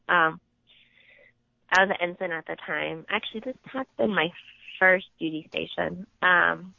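A woman whose first language is Japanese, a Navy nurse officer, recounting her experiences in a relaxed, conversational way with frequent ums. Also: lowish voice, dry recording. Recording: dry, tinny phone line.

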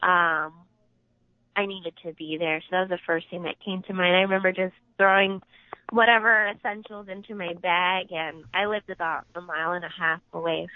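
A woman whose first language is Japanese, a Navy nurse officer, recounting her experiences in a relaxed, conversational way with frequent ums. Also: on the low side, moderate pace, no echo. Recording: dry, tinny phone line.

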